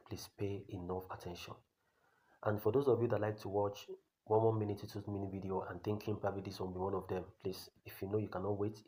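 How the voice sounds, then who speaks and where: Nigerian man, Nigerian accent, blunt lecturing coach, quick delivery, mellow dark tone. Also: low-pitched, poor audio. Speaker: online career coach. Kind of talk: talking-head tutorial.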